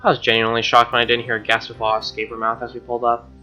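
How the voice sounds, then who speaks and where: A man's voice: mid-range voice, completely flat delivery, rapid-fire, pushed and bright. A young man streaming a game, reading a visual novel aloud with casual reactions, recorded on a lo-fi microphone. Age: young adult. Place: small room indoors. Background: game music.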